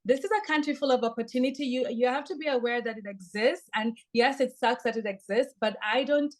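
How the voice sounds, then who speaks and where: A woman's voice: on the high side, fairly quick. A woman with a Kenyan accent, a podcast guest giving earnest, upbeat interview answers.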